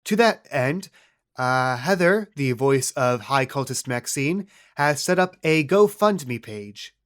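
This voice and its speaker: A man's voice: medium pitch, lively intonation, slow pace, fairly bright tone. A man hosting a podcast as game master, with casual narration in a tabletop game style.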